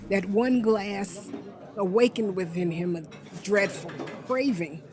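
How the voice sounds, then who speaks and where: A woman performing a grieving alcoholic father in a radio drama, halting and mournful. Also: medium pitch, noisy background, close to an everyday voice. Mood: anguished.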